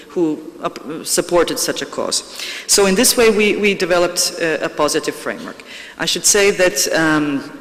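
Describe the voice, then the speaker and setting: A man gives a conference talk in a slightly high voice, pausing on fillers and restarting phrases. His voice rings out with the long reverb of a large hall. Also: fairly bright tone. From Croatia. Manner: hesitant, many fillers and restarts. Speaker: panel speaker.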